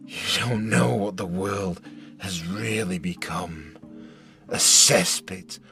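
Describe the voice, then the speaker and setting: An actor playing an older survivor leader in an audio drama, a menacing villain with a gravelly voice and a mocking, deliberate, sarcastic manner. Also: male voice, low voice, pushed and bright, faint music behind, heavily acted. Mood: contemptuous menace.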